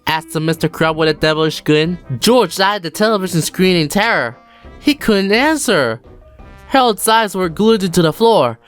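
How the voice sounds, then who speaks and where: A woman narrating a children's storybook in a lively, expressive read-aloud manner. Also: fairly low pitch, normal speed, soft background music. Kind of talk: storybook reading.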